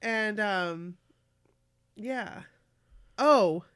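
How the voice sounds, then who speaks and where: A woman co-hosting a casual podcast, chatty and animated, who is losing her voice. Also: medium pitch, drawn-out words, smooth, no echo, clean studio sound. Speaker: podcast co-host. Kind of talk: casual chat.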